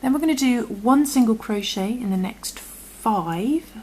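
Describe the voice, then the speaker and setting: A woman with a croaky voice, patiently talking through a crochet tutorial and often dropping to a half-whisper as she works. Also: fairly high pitch, lively intonation, unhurried, darkish tone, a little hiss or hum. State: croaky from illness. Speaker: crochet instructor. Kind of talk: step-by-step tutorial.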